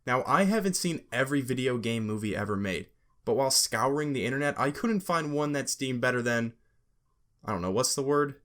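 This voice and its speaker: A man's voice: mid-range voice, animated, brisk, a bit bright. A young man hosting a casual scripted gaming commentary, sarcastic and joking, with profane asides. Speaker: video essay host.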